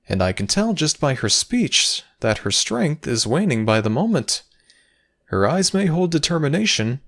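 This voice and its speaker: A man puts on a high, lofty voice for a male Egyptian god in a dramatic roleplay. The pitch swoops freely. His delivery is formal and theatrical, with the patient air of a superior explaining matters to a lesser one. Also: fairly bright tone. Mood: urging. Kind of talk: dramatic ASMR audio roleplay.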